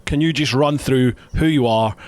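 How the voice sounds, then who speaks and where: A man's voice: medium pitch, moderate pace. A man hosting a podcast interview, asking questions in an easygoing way and chiming in with short affirmations. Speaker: podcast host. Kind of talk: casual interview chat.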